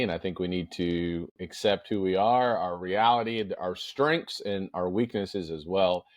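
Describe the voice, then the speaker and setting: A middle-aged man with a powerful voice, hosting a podcast in an emphatic, deliberate motivational monologue with storytelling. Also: sits low, no echo. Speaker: podcast host.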